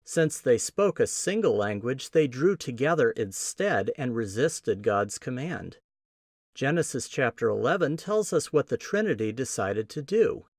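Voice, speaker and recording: A man with a Midwestern American accent hosting a podcast, giving a scripted teaching talk in a measured, explanatory way with emphatic stresses. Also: medium pitch, very animated, no echo, studio quality. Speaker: podcast host.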